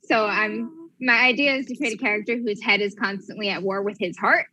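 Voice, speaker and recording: A woman's voice: medium pitch, brisk, pushed and bright, poor audio. A woman chatting casually and expressively as a player on a tabletop game show. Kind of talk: casual chat about a game character.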